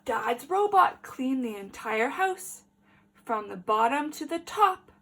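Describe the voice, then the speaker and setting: A woman teacher reading a picture book aloud to children in an animated, deliberate way, pausing to ask questions. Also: sits high.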